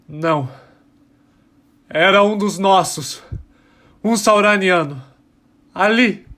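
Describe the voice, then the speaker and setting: An actor playing an embittered alien rebel miner, testifying with impassioned, dramatic pauses in an audio drama. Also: male voice, high voice, fairly bright tone. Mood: anguished, dismayed. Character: embittered male alien rebel miner testifying about his oppressed people.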